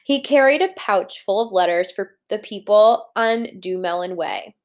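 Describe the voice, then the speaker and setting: A woman's voice: medium pitch, expressive, moderate pace. A woman teacher reading a storybook aloud to young children, talking to them directly and posing questions as she guides them along. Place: indoors at a computer.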